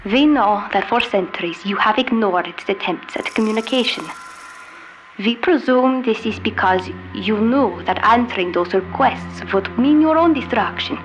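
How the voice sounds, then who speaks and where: A woman playing a solemn starship captain who leads survivors, delivering a formal, measured message in an audio drama. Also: mid-range voice, close to an everyday voice, poor audio. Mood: solemn, resolute. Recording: music under the voice.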